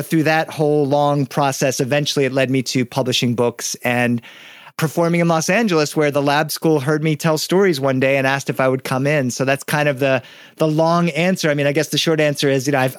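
A man sharing his background as a guest in a relaxed conversation, with a fairly high voice. He tells his story anecdotally, with fillers and quick restarts, and the recording sounds dry and close. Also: no room sound. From United States.

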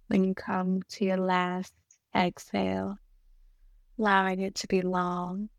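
A woman guiding a meditation in a soothing, gentle manner, giving unhurried instructions with long pauses between short phrases. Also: medium pitch, monotone, creaky, no echo, studio quality. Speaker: meditation guide. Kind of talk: guided meditation narration.

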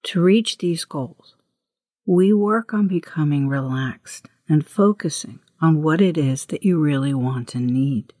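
A woman leading a guided meditation as host, with a hushed, breathy voice and gentle, unhurried instructions. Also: sits low, expressive, no room sound, studio quality. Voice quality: breathy, husky, often near whisper.

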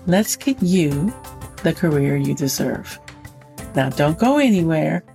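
A woman's voice: deep voice, sing-song, takes it slowly, creaky, warm tone, faint music behind. A woman from Northern California who hosts a career podcast and coaches, speaking in an upbeat, encouraging way. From Northern California, USA. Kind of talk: podcast hosting and ad read.

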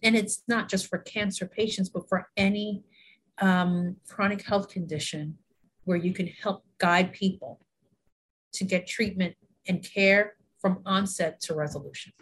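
A woman's voice: medium pitch, fairly even intonation, a little slow, a little hiss or hum, poor audio. A woman in her forties from New York, a health education consultant talking earnestly as an interview guest, with frequent fillers and easy laughter. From New York, USA. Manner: warm, earnest, laughs often, many fillers. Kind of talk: casual interview conversation.

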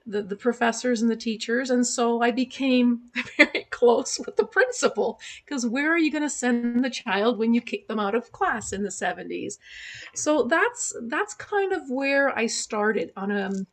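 A woman's voice: fairly high pitch, animated, measured pace. A woman in her sixties whose first language is German, raised in Canada, speaking candidly as a podcast guest, with frequent fillers. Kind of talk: casual interview conversation. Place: remote podcast call.

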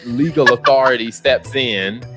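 A man hosting a podcast in casual chat, explaining things in a measured, step-by-step way. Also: mid-range voice, music in the background. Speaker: podcast host.

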